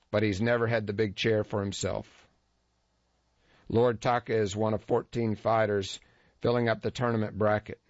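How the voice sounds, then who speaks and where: A man narrates a first-person feature story with a wry, dry humor. His voice sits on the low side. The recording is dull and lo-fi, with a close, dry sound and no room echo.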